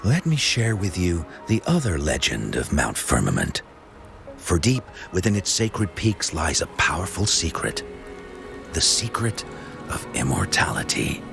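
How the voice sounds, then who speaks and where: An actor playing a theatrical storyteller in a video game, spinning legends to a crowd with dramatic, drawn-out showmanship. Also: male voice, deep voice, huge pitch swings, vocal fry, over music, exaggerated voice. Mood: mysterious, proud.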